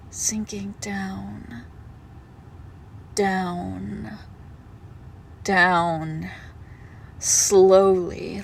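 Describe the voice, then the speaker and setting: A monstrous girlfriend, played by a woman, speaks very slowly with a creaky edge, sweetly menacing and possessive. Her phrasing is intimate and condescending, like a horror roleplay for one listener. There is faint noise behind her. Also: medium pitch. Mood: soothing menace. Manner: condescending, darkly affectionate, drawn-out deliberate phrasing. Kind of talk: intimate horror roleplay audio, slow and hushed.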